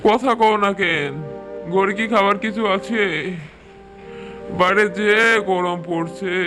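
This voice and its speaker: অভিনেতার কণ্ঠে চড়া গলার অসহায় গরিব গ্রাম্য স্বামী. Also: male voice, sits high. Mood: weary, hungry. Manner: plaintive, drawn-out, rustic speech. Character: poor, weary, desperate village husband. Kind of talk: Bangla animated folk-tale dubbing.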